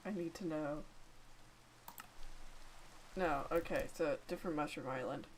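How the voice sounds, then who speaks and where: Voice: mid-range voice, background noise. A gamer chatting casually and rambling while playing, talking to themselves and to others on a call. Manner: rambling, chatty self-talk with quick asides to a call. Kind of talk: casual gameplay chat.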